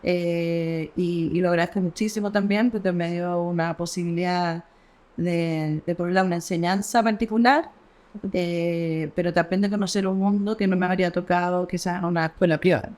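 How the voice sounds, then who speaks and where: A woman's voice: lowish voice, fairly even intonation, moderate pace, darkish tone. A woman in her forties with a Chilean accent, an architect and academic, talking thoughtfully in an interview with many hesitations and restarts. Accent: Chilean Spanish. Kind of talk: reflective conversational interview.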